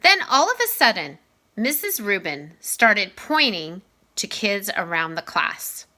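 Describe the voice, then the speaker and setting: Animated, bright-voiced woman reading a story. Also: medium pitch, measured pace. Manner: animated, dramatized dialogue with emphatic delivery. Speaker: reader reading a children's novel aloud. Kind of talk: expressive read-aloud storytelling.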